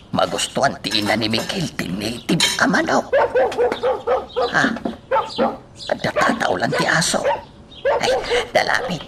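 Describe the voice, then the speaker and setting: A man with an Ilocano accent playing a hospitable, excitable older rural uncle in a radio drama, exclaiming and drawing out his words. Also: fairly high pitch, full character voice. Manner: exclamatory, swooping, slow drawn-out delivery. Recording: loud outdoor insect and animal noise.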